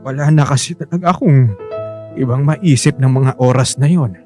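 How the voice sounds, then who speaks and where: A man's voice: mid-range voice, normal speed, mellow, natural voice. A man acting a stubborn Filipino husband over music. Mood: pleading, distressed. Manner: defensive, pleading. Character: stubborn, self-sacrificing working-class husband and father. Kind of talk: Tagalog radio drama acting. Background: musical underscore.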